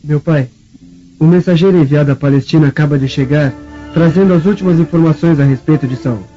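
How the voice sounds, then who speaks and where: An actor playing a respectful, earnest Roman patrician's son in a Brazilian radio drama, formal and deferential, with a Brazilian accent. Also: male voice, fairly high pitch, fairly quick, dark tone, lightly acted. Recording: muffled audio with faint music.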